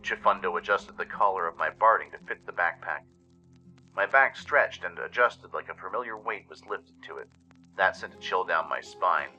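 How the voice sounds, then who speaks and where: Casting: male narrator, lowish but very bright, punchy voice, dramatic scripted storytelling with music underneath. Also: lively intonation, normal speed, highs cut off. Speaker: narrator and radio show host.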